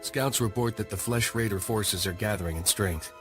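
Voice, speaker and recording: A man voicing a scout leader, low and matter-of-fact. He reports enemy movements plainly. Faint score behind. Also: moderate pace, a bit bright, faint music behind, natural voice. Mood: urgent.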